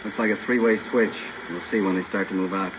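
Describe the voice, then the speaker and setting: A steady American police captain played by a male actor gives short, commanding remarks in a lowish voice. The sound is a muffled, hissy vintage radio crime drama. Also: fast talker, close to an everyday voice. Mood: steady, terse. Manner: terse, authoritative. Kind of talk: 1950s radio drama acting. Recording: dull, noisy old radio recording.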